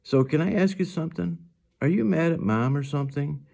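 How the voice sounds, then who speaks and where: Storybook father, tender and teasing, reassuring, gravelly edge, read by a male narrator. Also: a little high, a little fast, warm tone, voice pushed up. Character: gentle, playful, reassuring father of a young boy.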